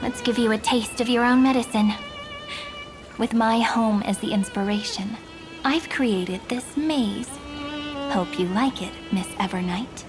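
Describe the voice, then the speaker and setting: An actress playing a gentle, confident young woman in a video game, gracious and lightly teasing. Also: a little high, a little slow, natural voice. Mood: playfully challenging. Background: music.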